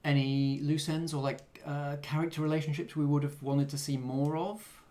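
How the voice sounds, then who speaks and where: A man's voice: a little high, level tone, normal speed, a little mellow. A man, the game master, chatting casually after a tabletop game and reading the show's outro. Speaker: game master and show announcer. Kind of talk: casual post-game chat and scripted outro.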